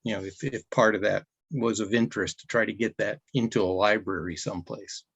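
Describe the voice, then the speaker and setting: A man's voice: on the low side, steady tone, brisk, vocal fry, fairly bright tone, slightly rough, no room sound, highs cut off. A man in an online club meeting, talking casually in a rambling, conversational way on a video call. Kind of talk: casual show-and-tell.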